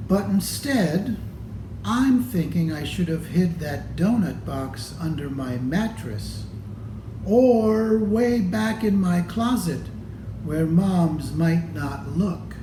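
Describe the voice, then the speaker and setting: A man reads a picture book aloud for children. His delivery is very slow and expressive, addressed straight to young listeners. The room is echoey, with clear noise behind the voice. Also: high voice. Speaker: storybook reader. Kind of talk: children's read-aloud storytelling.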